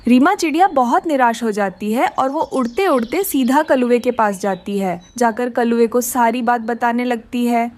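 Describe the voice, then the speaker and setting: A woman's voice: a little high, normal speed, few pauses, darkish tone. A woman reading scripted narration for a children's cartoon story.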